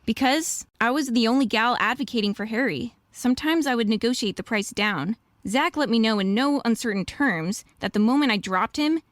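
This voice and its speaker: A woman's voice: a little high, dry recording, studio quality, close to an everyday voice. A woman playing an earnest, admiring female wolf lawyer in a radio drama, speaking in an eager, articulate way.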